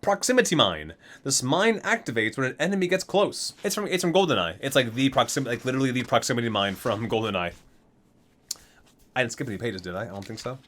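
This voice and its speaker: A man presents in an ASMR style, reading catalog-like game entries piece by piece. His medium-pitched voice moves up and down with a lot of animation. He breaks from the measured reading into casual, enthusiastic commentary. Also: animated, a bit bright. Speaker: ASMR video creator, gamer. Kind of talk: ASMR reading aloud from a game item book with casual asides.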